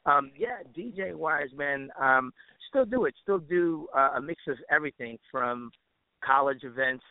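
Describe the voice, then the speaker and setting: A man's voice: fairly high pitch, expressive, measured pace, no room sound. A man being interviewed as a musician and DJ, chatting casually in a rambling, slangy way over a narrow, phone-like line.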